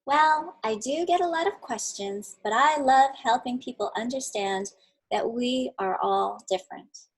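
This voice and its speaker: A woman reading aloud as a kind, patient mother explaining things gently to her two boys. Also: fairly high pitch, a little slow, slightly rough, poor audio. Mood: tender. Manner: gentle and reassuring. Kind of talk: storybook read-aloud.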